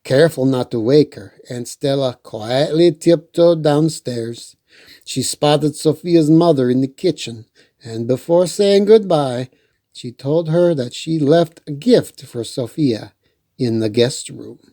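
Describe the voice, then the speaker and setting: Unhurried, mellow storyteller for children. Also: medium pitch. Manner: playful, acts out dialogue. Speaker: storyteller reading a picture book aloud. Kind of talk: children's story read-aloud with chatty asides.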